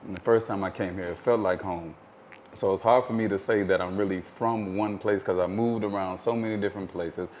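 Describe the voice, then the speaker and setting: An American man as an interview guest, telling his story in a thoughtful, measured way with frequent fillers. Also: low-pitched, steady tone, a little mellow, slight background noise. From United States.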